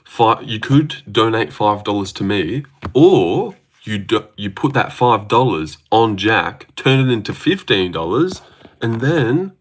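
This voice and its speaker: A man's voice: lowish voice, very animated, measured pace. An Australian man hosting a casual livestream, answering viewer comments in a rambling, joking way with lots of fillers and restarts. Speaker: livestream host.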